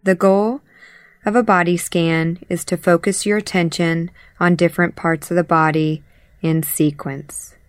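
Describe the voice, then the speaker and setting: A woman leading a guided meditation as an instructor, calmly and in short, measured phrases with pauses. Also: on the low side, dry recording, clean studio sound. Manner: calm, measured, pausing between short phrases. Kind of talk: guided body scan meditation.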